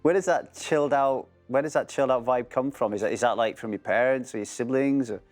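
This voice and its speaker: A man's voice: mid-range voice, a little fast, faint music behind. A middle-aged man from England interviewing a guest, chatty and rambling, mixing in his own stories. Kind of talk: casual interview.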